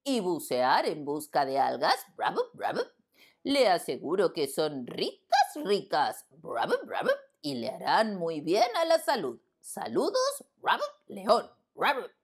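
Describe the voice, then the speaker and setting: A woman voicing a frog post clerk in a children's story, reading slowly with burbling croaks. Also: fairly low pitch, huge pitch swings, heavily acted. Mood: reading aloud.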